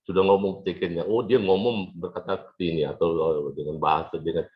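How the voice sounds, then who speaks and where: Low-voiced man lecturing, dull audio. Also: fairly even intonation, fast. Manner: conversational and repetitive, with frequent check-in tags. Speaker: lecturer in an Arabic language course. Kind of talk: informal classroom lecture.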